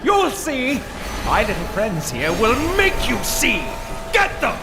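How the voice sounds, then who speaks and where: A petulant, self-styled genius villain in a video game. The voice is very high, drawn out and whiny, scheming theatrically over clear music. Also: male voice, huge pitch swings, takes it slowly, heavily acted. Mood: petulant, defiant. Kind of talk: cartoonish game cutscene acting.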